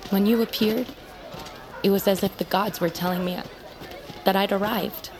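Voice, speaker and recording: A woman's voice: medium pitch, fairly even intonation, moderate pace, lightly acted. A woman playing a feisty, proud young bird-folk outcast in a dramatic audio fiction, defiant and impassioned, with noisy ambience around her. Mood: wistful, admiring. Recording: noisy outdoor ambience behind voice.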